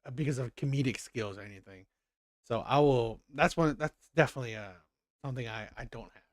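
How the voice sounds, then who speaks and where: A man hosting a reaction video, commenting in a halting, hesitant way. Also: mid-range voice, no room sound, clean studio sound. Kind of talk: casual commentary over a clip.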